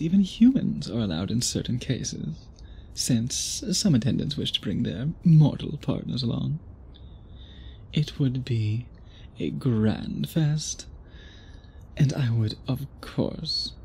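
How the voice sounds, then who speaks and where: A man playing an obsessive, courtly vampire suitor in an intimate ASMR roleplay, breathy and husky, with formal old-fashioned phrasing. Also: medium pitch, dark tone, full character voice. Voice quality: breathy, husky, often whispery.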